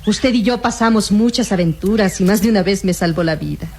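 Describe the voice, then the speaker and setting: A woman's voice: medium pitch, a little fast, a little mellow, natural voice. A young Latin American villainess voiced by a woman speaks in a mid-range voice with theatrical self-assurance. She is cunning and coolly ruthless, in the manner of a radio serial. Some noise sits on the old recording. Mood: smug menace. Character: cunning young female impostor, coolly ruthless treasure seeker.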